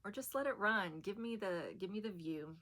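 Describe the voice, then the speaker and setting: A woman chatting to camera in a soft, soothing voice that still carries a bright, sharp edge. She rambles a little, with easy fillers and small laughs, in the relaxed way of a long-time vlogger. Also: medium pitch, moderate pace. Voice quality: soft and soothing, said to put people to sleep. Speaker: haul vlogger. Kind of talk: casual chat to camera.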